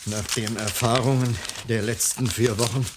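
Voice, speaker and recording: An actor playing a modest, wryly resigned middle-aged security man, halting and reserved, in a German radio crime comedy. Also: medium pitch, steady tone, noisy background, close to an everyday voice. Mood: weary, resigned. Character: ageing security man, modest, bitter after being sacked.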